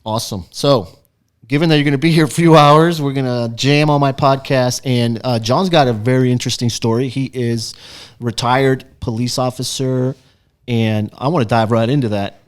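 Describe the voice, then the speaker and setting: A man's voice: medium pitch, steady tone, moderate pace, clear, resonant tone. A man hosting a podcast from a small office studio, upbeat and chatty as he introduces a guest and asks questions. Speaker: podcast host.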